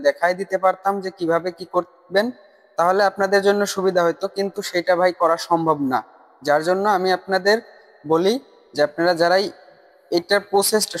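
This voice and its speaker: A man giving a casual, insistent explanatory voice-over for a tutorial video. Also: high voice. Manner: insistent, repetitive, addressing viewers as brother. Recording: long reverb on the voice. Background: voice-over recorded separately from the screen capture.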